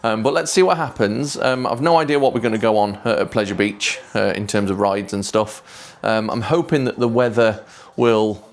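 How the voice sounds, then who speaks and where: A man, talking quietly and hesitantly to camera in a casual travel vlog, pausing often with ums, on a clip-on microphone. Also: lowish voice. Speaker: vlogger.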